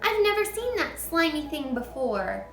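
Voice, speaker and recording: A woman's voice: sing-song, measured pace, a bit bright, faint music behind, full character voice. A woman voicing a small schoolgirl who earnestly protests her innocence, in an echoey room. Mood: pleading. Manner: pleading, high and earnest.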